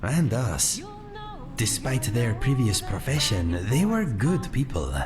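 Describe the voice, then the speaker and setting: A man playing a gentle, courteous cat-folk sellsword in a fantasy game, speaking in measured, formal phrases without contractions. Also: medium pitch, very animated. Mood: wistful. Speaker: companion character in a fantasy video game. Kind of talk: scripted game dialogue. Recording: faint music under the voice.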